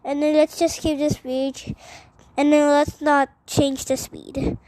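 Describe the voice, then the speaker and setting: High-pitched woman giving a slow tutorial. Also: little pitch movement, dark tone, no room sound, rough recording. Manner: hesitant, repeats instructions as she goes. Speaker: tutorial presenter. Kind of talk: informal step-by-step software tutorial.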